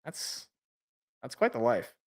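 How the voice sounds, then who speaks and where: A man co-hosting a casual sports podcast, loud and excitable, stumbling over repeated words, his voice worn out. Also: slow. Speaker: podcast co-host.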